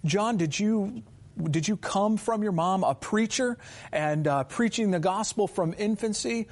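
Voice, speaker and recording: A man with a somewhat high voice hosts the conversation. He asks his guest questions in a warm, affirming way. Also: measured pace. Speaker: host. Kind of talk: interview conversation.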